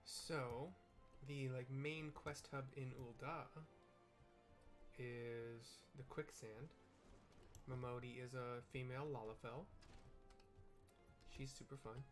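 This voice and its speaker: A man's voice: mid-range voice, steady tone, slow, long pauses, faint music behind. A middle-aged man giving casual, informal gameplay commentary with visual descriptions, a bit self-deprecating, recorded in a small room. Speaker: game streamer. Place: small room indoors at home.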